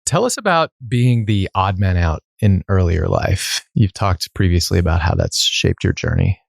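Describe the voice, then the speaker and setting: A man's voice: sits low, animated, normal speed, creaky, dry recording, clean studio sound. A man hosting a podcast, reading a guest introduction and asking short questions. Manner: reads the introduction, then short prompts. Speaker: podcast host. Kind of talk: scripted intro then interview.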